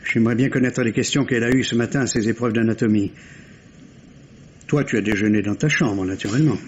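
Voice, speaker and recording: An actor playing a cool, impatient, middle-aged French surgeon in a formal, exasperated manner, in a 1950s-style radio drama. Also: male voice, mid-range voice, fairly quick, natural voice. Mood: dry impatience. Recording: dull, noisy old radio recording.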